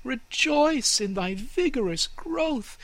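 A woman speaks as the sunbeams and the air in a fairy tale, high and bright. The words are drawn out slowly and solemnly, like a gentle blessing. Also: lively intonation, heavily acted. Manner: slow, solemn intoning.

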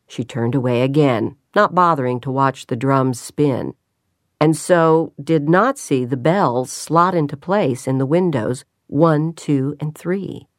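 A woman reads a novel aloud in a low, unhurried voice. Her delivery is measured and steady. The recording is dry and close, with no room sound. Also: no echo. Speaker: audiobook narrator. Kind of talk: scripted narration.

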